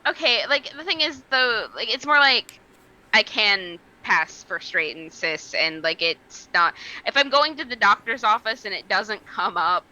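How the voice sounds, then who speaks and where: A bright, chatty woman co-host. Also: medium pitch, expressive, a little slow, highs cut off. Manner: chatty, heavy use of "like". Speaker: podcast co-host. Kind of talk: casual chat. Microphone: video call.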